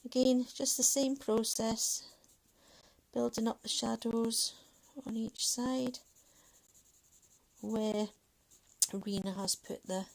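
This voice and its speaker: A woman's voice: a little high, fairly even intonation, slow pace, creaky, pauses often, poor audio. A woman in her thirties from Manchester, with a Mancunian accent touched by a Scottish lilt, chatting in a rambling way with many fillers as she hosts a livestream. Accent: Mancunian English with a Scottish lilt. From England, Manchester. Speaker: livestream host. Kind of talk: casual chat while colouring.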